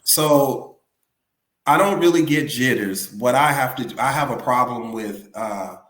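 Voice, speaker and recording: A man's voice: mid-range voice, a little slow, a bit bright, echoey room. A male singer being interviewed, talking casually and rambling with lots of "you know what I'm saying". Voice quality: raspy.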